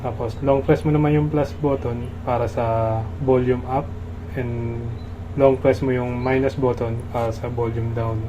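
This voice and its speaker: A man's voice: mid-range voice, flat delivery, a little slow, noisy background. A man with a Filipino accent demonstrates a product step by step in a casual, instructional way.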